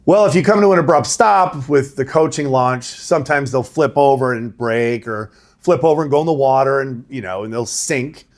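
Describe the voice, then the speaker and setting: A middle-aged man with a big voice, a rowing coach answering interview questions in a relaxed, conversational way. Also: mid-range voice, moderate pace. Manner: conversational, laughs easily, says right? and you know.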